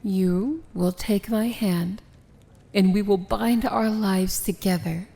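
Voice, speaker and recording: A woman playing a proud, dangerous evil princess in an ASMR fantasy romance roleplay, speaking softly and formally with a breathy, measured, regal delivery. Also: mid-range voice, fairly even intonation. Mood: solemn, resolute.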